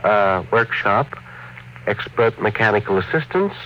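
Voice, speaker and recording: Mild little inventor, muffled radio. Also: male voice, lowish voice, animated, measured pace. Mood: mild, earnest. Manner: polite, hesitant stammer, gently persistent. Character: mild, pleasant little inventor, quietly confident dreamer. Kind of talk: old-time radio drama acting. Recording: noisy, muffled old radio broadcast.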